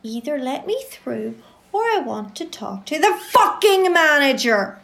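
A woman's voice: sits high, sing-song, unhurried, vocal fry, fairly bright tone, full character voice. A woman playing a furious, foul-mouthed shopper. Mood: indignant. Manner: aggressive, swearing, threatening. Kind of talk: amateur audio drama.

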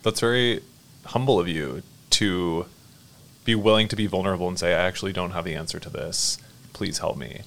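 A man hosting a podcast conversation, speaking in a measured, thoughtful way, asking reflective questions and introducing segments. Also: sits low, gravelly, a bit bright, a little hiss or hum. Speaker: podcast host.

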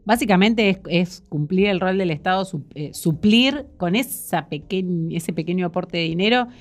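Hesitant deep-voiced woman in a discussion. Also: lively intonation, normal speed. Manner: hesitant, searching for words. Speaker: panelist. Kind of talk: casual discussion.

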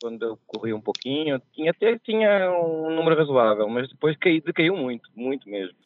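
Portuguese man on a remote call, slightly high voice, thin phone-line sound, chatty storyteller who laughs at himself. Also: animated, brisk, slight background noise. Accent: Portuguese, softened by years in Brazil. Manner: chatty, laughs at his own mishaps. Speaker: podcast guest. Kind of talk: casual storytelling.

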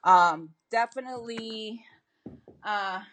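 Very bright-voiced woman in her forties. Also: on the high side, takes it slowly, a little hiss or hum. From Philippines. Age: 40s. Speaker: podcast guest, bikini athlete and coach. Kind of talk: casual interview answers.

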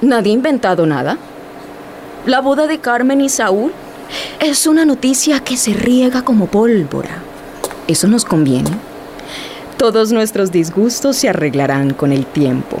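An actress playing a jealous, proud young wife in a radio drama, emphatic and reproachful. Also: female voice, a little high, big pitch swings, measured pace, a little mellow, slight background noise. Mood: smug, placating.

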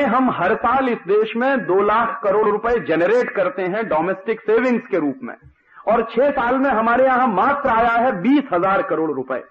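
A man from India giving an impassioned lecture on public affairs, speaking emphatically with repetition and rhetorical questions, on a lo-fi recording. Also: high voice. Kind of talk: impassioned political-economic lecture. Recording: dull lo-fi radio-like audio.

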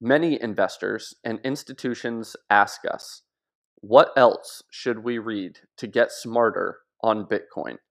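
A man narrating a written essay in a measured, even reading voice. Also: on the low side, vocal fry. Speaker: article narrator.

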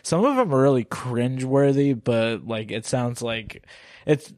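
A man's voice: mid-range voice, a little slow, a little mellow, dry recording. Young man with a dry close sound. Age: 20s. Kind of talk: casual chat.

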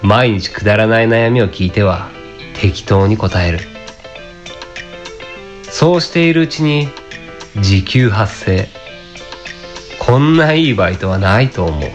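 A man's voice: fairly low pitch, animated, a little slow, long pauses, faint music behind. A man playing a cynical, lazy part-time fake fortune teller in a radio drama, grumbling in casual, blunt speech. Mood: jaded narration. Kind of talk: amateur radio drama acting and narration.

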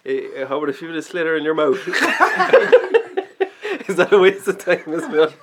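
A man's voice: a little high, moderate pace. A man co-hosting a casual podcast, laughing often and asking jokey questions. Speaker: podcast co-host. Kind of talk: casual chat.

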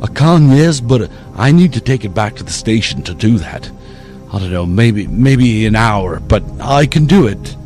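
A man voicing an eager, excitable young male rookie police officer in a story. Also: on the low side, measured pace, faint music behind. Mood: explaining.

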